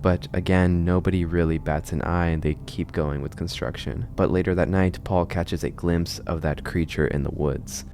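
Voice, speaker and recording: A man's voice: low-pitched, steady tone, normal speed, hardly any pauses, darkish tone, slight background noise. A man hosting a video essay on a horror series, explaining it in a conversational way. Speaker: video host, narrator.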